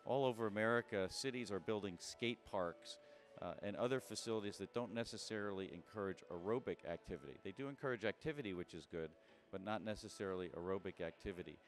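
A man in a documentary interview, speaking in an earnest, measured way. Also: lowish voice.

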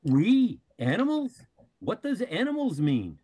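A man's voice: high-pitched, wildly swooping pitch, unhurried, dark tone, breathy edge, heavily acted. A man playing an offended, argumentative animal in a dramatic play reading.

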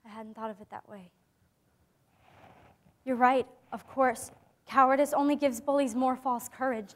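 A gentle teenage girl played by a young woman speaks in a high voice. She is earnest and hopeful, articulating each thought in a measured way, as in a dramatic stage reading. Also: fairly even intonation, natural voice. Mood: earnest, thoughtful. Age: young adult. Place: theatre-like stage in a conference hall. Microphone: lapel.

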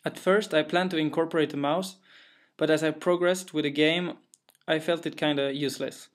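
A man's voice: fairly high pitch, fairly even intonation, moderate pace. A young man from Sweden with a Swedish accent, a game developer talking through his game in careful, slightly hesitant English. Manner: careful, plain, a little hesitant with fillers. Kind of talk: narrated gameplay walkthrough.

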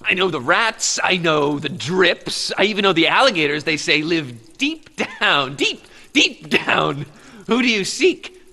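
An eerie, animated blind tunnel hermit. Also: male voice, on the high side, measured pace, gravelly, heavily acted. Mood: taunting. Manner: cryptic, theatrical, taunting, given to chuckling. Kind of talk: post-apocalyptic radio drama acting.

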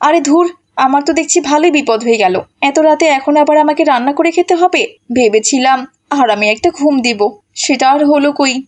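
Cartoon wife played by a woman: selfish, stubborn, high-voiced, whiny and defiant, melodramatic. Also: a little fast, natural voice. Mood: grumbling. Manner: defiant, whining, dramatic. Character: brash, selfish, stubborn social-media-obsessed wife.

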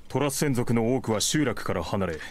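A man's voice: medium pitch, lively intonation, fairly quick, lightly acted. An actor playing a polite male officer who gives a formal, polite report.

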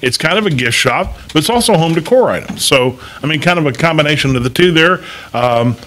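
A man's voice: a little high, lively intonation, fairly quick, a bit bright, slight background noise. A man co-hosting a casual studio talk show, explaining things in an easygoing, plain way into a desk mic.

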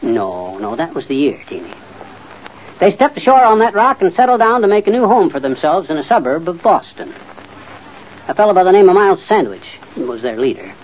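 An actor playing a blustery, folksy, know-it-all middle-aged husband in an old-time radio comedy. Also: male voice, high voice, very animated, brisk. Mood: folksy, explaining. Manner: folksy, boastful, muttering and sputtering. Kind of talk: 1940s-50s radio comedy acting. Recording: muffled old radio recording.

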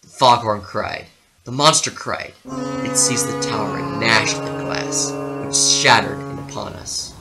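A man narrating a literary story dramatically, with theatrical, deliberate phrasing. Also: mid-range voice, huge pitch swings, over music, low-quality recording.

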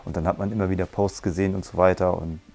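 A man in his forties talks earnestly in a testimonial, his voice very low, flat and gravelly. He explains at length, restarting phrases as he goes. Faint music sits underneath. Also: fast talker. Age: 40s. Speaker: martial arts school owner. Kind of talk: testimonial interview. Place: bright room with curtains, standing. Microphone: lapel mic.

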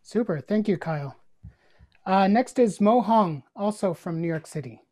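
A woman hosting a video call, briskly handing off to each speaker. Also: medium pitch, lively intonation, darkish tone. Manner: brisk, short handoffs. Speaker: host. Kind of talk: moderating introductions.

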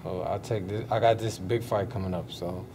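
A young man from Sweden, a boxer, giving short, relaxed answers in a casual interview inside a hotel hall. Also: deep voice, moderate pace. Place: hotel event hall indoors. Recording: noticeable background noise.

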